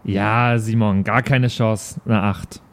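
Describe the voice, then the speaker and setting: A man's voice: on the low side, fairly even intonation, takes it slowly. A man playing a tabletop game, casually reporting his rolls and actions. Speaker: tabletop player.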